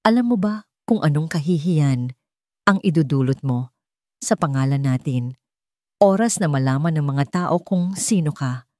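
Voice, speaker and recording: A woman's voice: low-pitched, expressive, measured pace, long pauses, warm tone, no echo, clean studio sound. A woman voicing a cold, furious, elderly rich matriarch in an audio drama, controlled, commanding and scornful. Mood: cold anger.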